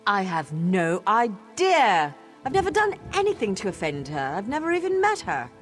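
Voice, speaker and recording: A woman's voice: mid-range voice, huge pitch swings, moderate pace, soft background music. An actress playing a polite, flustered young female scholar in a video game. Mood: puzzled, slightly hurt. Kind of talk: video game character acting.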